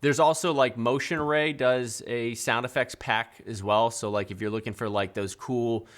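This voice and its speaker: A man's voice: mid-range voice, fairly even intonation, normal speed, fairly bright tone. A man in his thirties hosting a live call-in stream from a dim studio desk, talking loosely with fillers and restarts. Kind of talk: casual live-stream chat.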